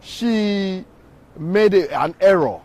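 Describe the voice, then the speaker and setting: A man being interviewed outdoors, explaining slowly with frequent pauses and fillers. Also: high-pitched, expressive, darkish tone. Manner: hesitant, many pauses and fillers. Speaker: interview guest. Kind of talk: street interview answers. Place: outdoors on a city street outside a court. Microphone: handheld mic from the streamer.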